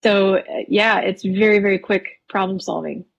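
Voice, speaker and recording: Husky-voiced American woman painter, chatty. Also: medium pitch, moderate pace, lo-fi sound. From Wisconsin, USA. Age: 30s. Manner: relaxed, chatty, laughs easily, frequent ums. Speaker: painter guest. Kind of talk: casual interview conversation.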